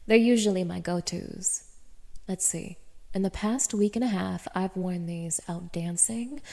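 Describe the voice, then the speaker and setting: A woman's voice: lowish voice, a little slow, vocal fry, warm tone. A woman playing a cruel, haughty young dominatrix in an audio roleplay, speaking straight to the listener with taunting, commanding contempt. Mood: scornful, menacing. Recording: reverberant, echoing room.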